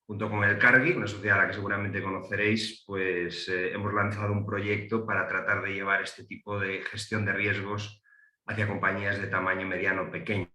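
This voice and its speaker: Man, middle-aged, Galician accent, lowish pitch, hesitant with fillers, echoey room. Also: bright, forceful tone. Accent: Galician Spanish. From Galicia, Spain. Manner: hesitant, many fillers and restarts. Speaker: panel speaker, economist. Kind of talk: panel talk. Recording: roomy echo.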